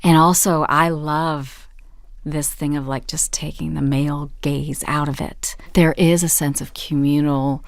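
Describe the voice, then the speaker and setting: A woman's voice: low voice, little pitch movement, measured pace, no echo. A woman guest reflecting emphatically in a conversational panel discussion.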